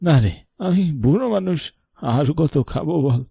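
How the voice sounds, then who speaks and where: Casting: male actor as weary, protective old grandfather for a cartoon; mellow, creaky voice, sharp tongue, soft heart. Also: on the high side, expressive, normal speed, dry recording, clean studio sound, full character voice. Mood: weary, worried. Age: older. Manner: scolding, then tender storytelling. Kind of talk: cartoon voice acting.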